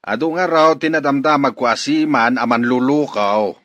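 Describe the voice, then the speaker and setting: A man's voice: mid-range voice, normal speed, fairly bright tone, dull audio, lightly acted. An actor playing a distrustful, stubborn father in an Ilocano radio drama. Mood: suspicious. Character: distrustful, stubborn father wary of daughter's suitor.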